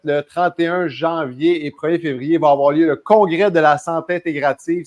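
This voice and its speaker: A podcast host, a man with a Quebec French accent, leads the interview in a casual, chatty way. His voice sits a little high for a man. He hesitates often and restarts his sentences as he goes.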